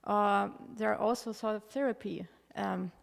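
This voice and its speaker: Gravelly-voiced woman, hesitant. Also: medium pitch, fairly even intonation, normal speed. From Germany. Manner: hesitant, many fillers, wry. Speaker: panel author. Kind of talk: panel discussion. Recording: reverberant hall.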